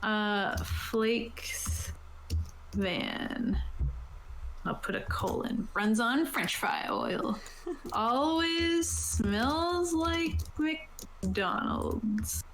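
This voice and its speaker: A woman's voice: on the high side, animated, very slow, a bit bright. A woman talking casually as a game master, explaining rules and options to players. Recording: roomy echo, light background noise.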